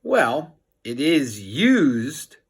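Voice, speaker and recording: A man's voice: medium pitch, sing-song, extremely slow, breathy edge, full character voice. A man voicing an earnest, boastful nerdy boy inventor who explains things grandly and emphatically in a children's storybook. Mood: proud explaining.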